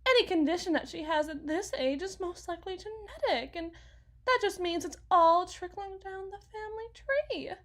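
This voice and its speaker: A woman playing an anxious, fragile wife and mother in an ASMR roleplay, rambling haltingly and correcting herself as if blaming herself. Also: very high-pitched, animated, fairly bright tone. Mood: bitter, resigned. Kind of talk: ASMR dramatic monologue roleplay.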